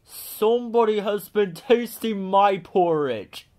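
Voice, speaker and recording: A great big storybook bear, acted out by a man, roaring his indignation in drawn-out words. The voice is raised high and loud with outrage. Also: lively intonation. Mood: indignant, loud.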